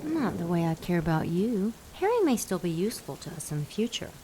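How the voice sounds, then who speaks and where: A scheming demon temptress speaks in a fairly low voice. She is coy and commanding, as in an audio drama. Faint ambient noise sits behind her. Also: very animated, a little fast, dark tone. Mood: tender, commanding. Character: seductive, scheming demon woman with a tail.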